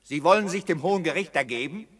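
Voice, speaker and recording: Radio drama director: sceptical, emphatic, challenging, very lively intonation. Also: male voice, fairly high pitch, fast. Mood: urgent, scornful. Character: insistent, indignant, sceptical radio-play director.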